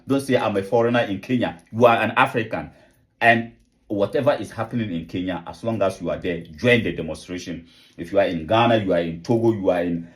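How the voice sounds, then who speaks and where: A man giving an impassioned rallying speech to camera, full of repeated rhetorical questions and calls to rise up. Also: lowish voice. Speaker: activist channel host.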